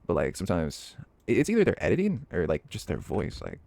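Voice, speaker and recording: A man's voice: low-pitched, wildly swooping pitch, a little fast, gravelly, a little mellow, dry recording. A young man chatting casually while playing a game, rambling and hesitant with lots of fillers and restarts. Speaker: hobby voice actor and gaming vlogger. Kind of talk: casual rambling commentary over gameplay.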